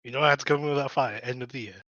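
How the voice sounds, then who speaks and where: A male caller chats casually to a podcast. His medium-pitched voice comes through a dull, dry online call. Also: very fast, bright, forceful tone, no room sound. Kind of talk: casual chat.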